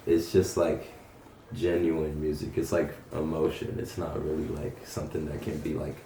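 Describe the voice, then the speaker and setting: A young man from North Carolina chatting casually as an interviewed rapper, slangy, profane and halting. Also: extremely low pitch, completely flat delivery, dark tone. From North Carolina, United States. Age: young adult. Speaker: punk rapper being interviewed. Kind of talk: casual chat. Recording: roomy echo, faint background noise.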